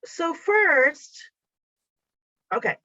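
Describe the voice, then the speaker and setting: A woman's voice: very high voice, lively intonation, drawn-out words, bright, forceful tone, no room sound. A woman whose first language is Japanese, presenting a teacher workshop online in a chatty, encouraging way and guiding the audience step by step. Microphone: video call. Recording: dull lo-fi video call audio.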